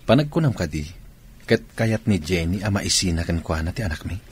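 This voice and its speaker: A man playing a soldier who is a gentle father and evasive husband in a radio drama. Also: deep voice, animated, a little fast, breathy edge, full character voice. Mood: defensive.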